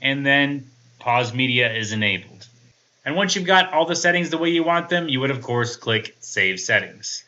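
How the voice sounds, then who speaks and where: A man's voice: mid-range voice, expressive, moderate pace, bright, forceful tone, muffled recording. A man in his thirties giving a casual, methodical product setup walkthrough as a tech reviewer. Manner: methodical, informal step-by-step explaining.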